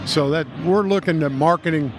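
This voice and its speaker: A man's voice: fairly high pitch, a little fast, music in the background. An older man with a Kentucky accent, talking in a rambling, enthusiastic way as a guest at a trade show. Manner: folksy, rambling, enthusiastic salesman. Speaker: guest, music store owner. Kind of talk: casual trade-show interview.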